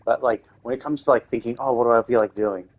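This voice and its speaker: A man's voice: mid-range voice, steady tone, quick pace, dull audio. A man streaming a game live, thinking aloud in a loose, rambling way with lots of restarts. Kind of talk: casual live-stream commentary while playing.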